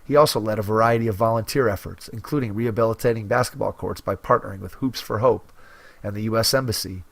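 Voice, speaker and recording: A man hosting a basketball coaching podcast, reading a guest's biography in a measured announcer style and then asking interview questions. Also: on the low side, vocal fry, no echo. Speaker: podcast host.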